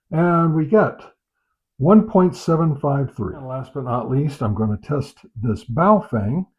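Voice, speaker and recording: A man gives unscripted product test commentary as a review host, pausing often with filler sounds and repeating set phrases. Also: medium pitch, gravelly. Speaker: host of a radio gear review channel. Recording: dull, muffled lo-fi sound.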